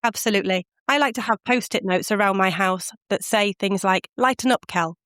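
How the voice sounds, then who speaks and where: A woman's voice: medium pitch, brisk, fairly bright tone, no echo, clean studio sound. A middle-aged woman from the UK, a guest on a podcast, telling her stories animatedly and laughing often. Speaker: guest, language course founder. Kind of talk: podcast interview answers.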